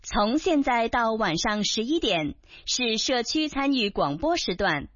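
A woman station announcer reading station idents and schedule notes in a bright, promotional way. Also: a little high, big pitch swings, measured pace, no room sound, dull audio.

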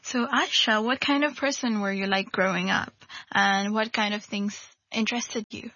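A woman's voice: medium pitch, fairly even intonation, moderate pace, pushed and bright, no echo, lo-fi sound. A woman hosting a radio interview show, delivering prepared introductions and questions to her guest.

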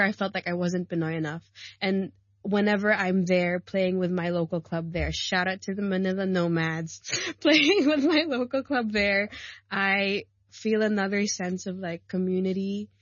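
A woman in her thirties, a rugby player and coach, chatting as a guest in a radio studio, warm and laughing often, with many ums and likes. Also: medium pitch, dry recording, muffled recording. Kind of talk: casual radio interview. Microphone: studio mic.

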